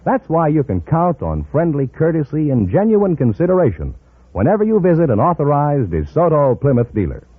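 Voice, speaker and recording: A man's voice: on the high side, big pitch swings, a little fast, breathy edge, no echo. A man working as an announcer in a 1950s radio style. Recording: old radio, narrow band.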